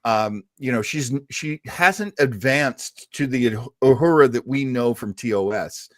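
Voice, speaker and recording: A man's voice: medium pitch, lively intonation, a little slow, dry recording, studio quality. An older man chatting casually as a podcast host in a fan discussion, with a self-deprecating manner.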